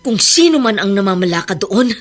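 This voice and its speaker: A woman's voice: medium pitch, big pitch swings, a little fast, soft background music. An actress playing a protective, righteous middle-aged mother in an earnest, emphatic Filipino radio drama style. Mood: indignant.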